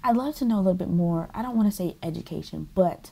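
A woman's voice: fairly low pitch, lively intonation, quick pace, gravelly, warm tone. A young adult woman from the United States hosting a podcast interview, upbeat and sometimes searching for words, on an earbud mic over a video call from home. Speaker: podcast host. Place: home room. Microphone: earbud headset mic on a video call.

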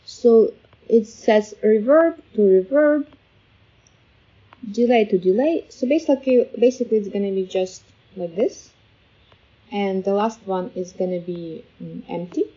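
A woman with a soft-edged, mellow voice walks through software steps as a tutorial host. Her delivery is informal and a bit hesitant, in a casual step-by-step style. The voice-over sounds somewhat muffled and lo-fi. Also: mid-range voice, measured pace. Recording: slightly dull, lo-fi voice-over.

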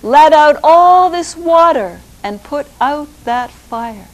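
A woman voicing a small, brave male rooster who crows high and insistent in a storybook tale. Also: animated, slow pace. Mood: defiant crowing. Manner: high crowing calls.

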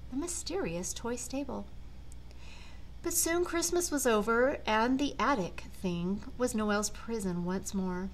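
Older woman reading a storybook, lively intonation. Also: on the high side. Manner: dramatic, deliberate storyteller phrasing. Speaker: grandmother reading a storybook aloud. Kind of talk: expressive children's storybook reading. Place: large living room at home.

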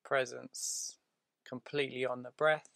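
A man leading a guided meditation in a soothing, deliberate way, leaving drawn-out pauses between short phrases. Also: mid-range voice, level tone, creaky, fairly bright tone, no echo.

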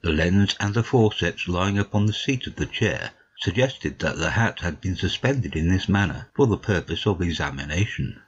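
A man playing a courteous gentleman narrator, telling a detective story in a measured, literary way, on a dull recording. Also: low-pitched, lively intonation.

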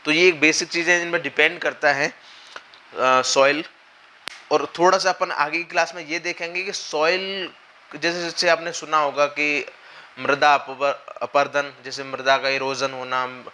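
A young man lectures at a whiteboard in a slightly hoarse voice, the lingering trace of a cold. His tone is bright and forceful, and he talks through each point patiently, pausing often to check that students are following. The lapel mic picks up a faint hiss of room ambience in the classroom. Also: a little high, steady tone. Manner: explanatory, with frequent check-ins and hesitations. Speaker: exam coaching teacher.

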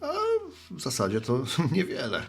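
Gravelly middle-aged bar regular, acted by a man. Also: medium pitch, sing-song, normal speed, full character voice. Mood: musing. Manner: folksy, rambling, reminiscing. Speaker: bar customer and postman. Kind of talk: radio play dialogue.

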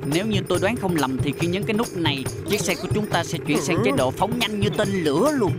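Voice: medium pitch, expressive, quick pace, lightly acted. An actor playing an eager, inventive skinny man in a children's cartoon dub, exclamatory in delivery. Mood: confident. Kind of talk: Vietnamese-dubbed children's cartoon acting. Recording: loud music behind the voice.

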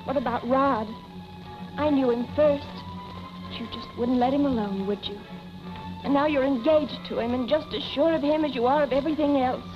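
Actress as a high-voiced, defiant younger sister. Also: female voice, normal speed, music in the background, natural voice. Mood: bitter. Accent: American. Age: young adult. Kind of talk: 1940s film acting. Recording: dull 1940s film soundtrack with score.

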